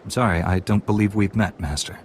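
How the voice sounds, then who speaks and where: Deep-voiced, brisk young Jedi hero. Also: male voice, a little hiss or hum. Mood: dry composure. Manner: composed, formal. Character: earnest, steadfast young Jedi Padawan hero. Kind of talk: video game voice acting.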